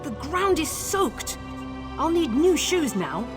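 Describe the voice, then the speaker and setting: High, swooping, fussy elf mother. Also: very animated, slow pace, music in the background, exaggerated voice. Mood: displeased. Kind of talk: video game voice acting.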